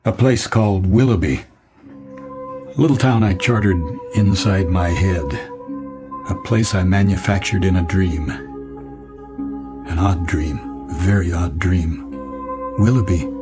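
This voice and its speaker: A worn-down, middle-aged American husband and office man who dreams of escape. His voice is on the low side and he halts and doubles back on his words. Clear music plays under this radio drama. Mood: weary, wistful. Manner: halting, repeats words. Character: weary, dull middle-aged married businessman, a wistful dreamer. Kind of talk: audio drama acting.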